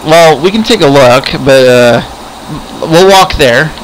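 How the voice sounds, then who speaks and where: Halting, rambling man with higher voice. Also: expressive, slight background noise, rough recording. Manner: rambling, repeats words haltingly. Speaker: host and player in a roleplay game video. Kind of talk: casual improvised roleplay chat. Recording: music behind the voice. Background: music.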